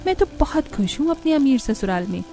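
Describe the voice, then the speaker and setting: A woman's voice: high voice, very animated, fairly quick, warm tone. A woman voicing a showy, self-satisfied young daughter-in-law in a family cartoon. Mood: boastful, smug.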